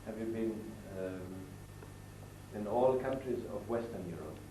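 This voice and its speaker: A man with a German accent, a native German speaker, answering interview questions in a halting, deliberate way with many pauses. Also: on the low side, expressive. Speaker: interviewed guest, international official. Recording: echoey distant 1990s TV studio audio with noise.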